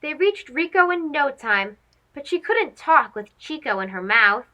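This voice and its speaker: A woman narrating a children's story as a read-aloud host, expressive, dramatic and clearly phrased. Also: high voice, measured pace.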